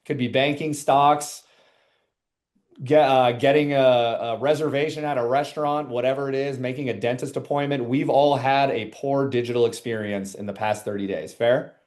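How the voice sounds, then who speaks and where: A man in his forties presenting statistics in a lively webinar and bantering with co-hosts, asking the audience questions. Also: mid-range voice. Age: 40s. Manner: energetic, asks the audience questions.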